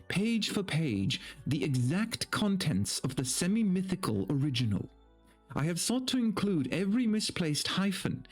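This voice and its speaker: A man livestreaming in a playful, expressive way, moving between casual chat and reading aloud to viewers. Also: on the high side.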